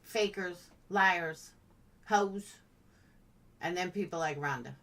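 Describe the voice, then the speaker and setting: A woman's voice: fairly low pitch, takes it slowly, fairly bright tone, distant mic. A woman live-streaming in a blunt, chatty, playful way, casually answering comments as they come in.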